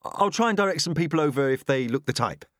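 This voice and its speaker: A man's voice: fairly high pitch, expressive, fast talker, no room sound, clean studio sound, voice pushed up, natural voice. A man voicing a plucky, enthusiastic young woman who works in a bookshop, in an audiobook. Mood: polite, deflating. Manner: bright, eager, bouncy.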